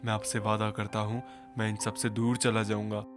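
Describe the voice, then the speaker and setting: A man's voice: on the low side, completely flat delivery, moderate pace, over music, lightly acted. A man voicing a modest, honourable young uncle in a cartoon story, earnest and level. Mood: earnest, regretful. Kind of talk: Hindi cartoon story voice acting.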